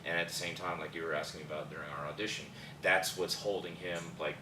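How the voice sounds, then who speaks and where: A man, an actor, casually discussing a script in a halting, repetitive way. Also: sits low, fairly even intonation. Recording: echoey room, distant mic, noisy.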